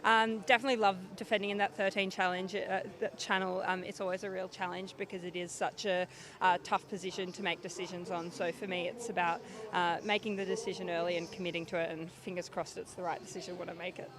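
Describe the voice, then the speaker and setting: Aussie woman rugby player, loud and chirpy, talks fast, stadium crowd noise behind her. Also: on the low side, little pitch movement. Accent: Australian. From Australia. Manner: loud, upbeat, quick and jokey. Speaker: rugby player interviewed pitchside. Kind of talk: post-match-style sports interview.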